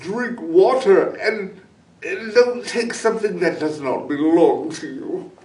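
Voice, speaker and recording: A man's voice: sits high, takes it slowly, darkish tone, voice pushed up. A man voicing a sheepish, eager trickster coyote in a children's story, drawing out his words in an exaggerated way. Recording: roomy echo, distant microphone.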